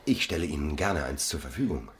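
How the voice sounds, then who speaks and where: An actor playing an ardent gentleman seducer in a literary radio drama, with a courtly, pleading, theatrical manner. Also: rumbling low, lively intonation, a little fast, slight background noise. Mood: suave politeness. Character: smooth, ardent gentleman seducer wooing a married woman.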